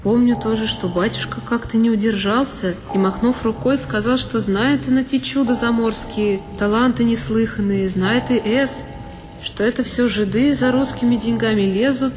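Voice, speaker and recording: A woman actress giving an expressive, reflective narration in a one-woman audio play. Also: a little high. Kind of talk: scripted literary narration. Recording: long reverb with violin music. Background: solo violin.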